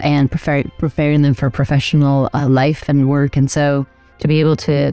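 A woman's voice: low voice, mellow. A middle-aged woman from Albania, answering interview questions thoughtfully with many fillers and restarts. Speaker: architect and program director, guest.

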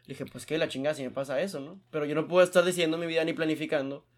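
Fast-talking, slangy young man. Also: fairly high pitch. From Mexico. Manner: slangy, animated, fast storytelling. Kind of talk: casual chat.

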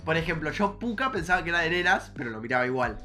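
A man's voice: a little high, big pitch swings, quick pace, bright, forceful tone, faint music behind. A young man with an Argentine accent chatting casually and informally, with plenty of slang, as a podcast co-host. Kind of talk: casual nostalgic chat.

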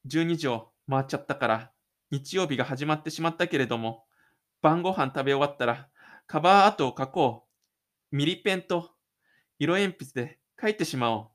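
A man's voice: medium pitch, fairly bright tone, close to an everyday voice. A man playing a shy, stubborn teenage schoolboy in an audio drama, muttering an inner monologue to himself. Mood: resolving.

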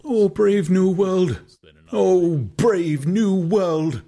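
A man voicing a singing inner voice that declaims lofty verse in a drawn-out way, in an audiobook. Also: sits high, mellow, voice pushed up, exaggerated voice. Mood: exalted. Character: mocking, singing voice quoting lofty verse in memory.

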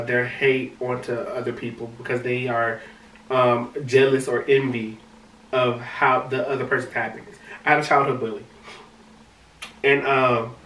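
Man, high-pitched voice, animated casual podcast talk, echoey room, distant mic. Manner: animated, repeats and restarts words. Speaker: podcast co-host. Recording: roomy sound from a distant mic.

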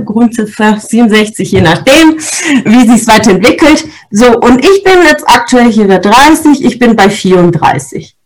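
Woman, 30s, higher register; motivational podcast host, explains step by step. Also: low-quality recording. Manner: lively, explains step by step with frequent "ja?" check-ins. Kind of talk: motivational talk on goal setting.

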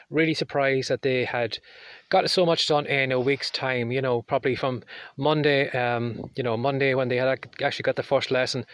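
A man hosting his podcast in a chatty, rambling way, his voice hoarse and croaky. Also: medium pitch, no room sound. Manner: chatty, rambling, apologetic asides. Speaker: podcast host. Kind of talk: casual solo podcast talk.

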